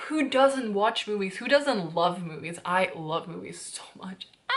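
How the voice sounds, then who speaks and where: A woman's voice: mid-range voice, fairly bright tone. A young woman whose first language is Hungarian, talking to camera in a bubbly, playful vlog. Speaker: vlogger.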